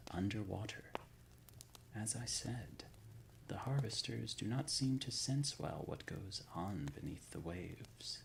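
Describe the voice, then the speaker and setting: A man playing an ancient, solemn undead leader of a secret order in an ASMR roleplay, speaking in a breathy, gravelly whisper with formal, archaic diction. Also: sits low, fairly bright tone, heavily acted, rough recording. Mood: solemn, proud.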